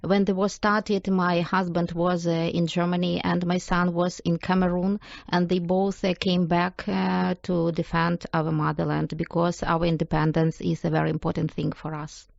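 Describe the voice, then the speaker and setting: A middle-aged woman with a Ukrainian accent, answering in halting, careful English as an interview guest. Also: on the low side, level tone, gravelly, dry recording, highs cut off. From southern Ukraine. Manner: halting second-language English. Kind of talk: hesitant conversational interview. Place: radio studio.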